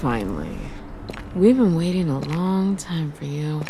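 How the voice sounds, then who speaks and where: Impatient female officer played by a woman, very low register, lively inflection, audio drama with noise behind. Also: a little slow.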